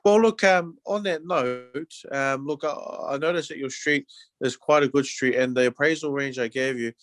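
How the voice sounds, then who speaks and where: A man's voice: mid-range voice, normal speed. A man with a New Zealand accent talking in a casual, friendly sales style as a real estate agent, often padding his sentences with "you know". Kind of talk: casual, conversational sales talk.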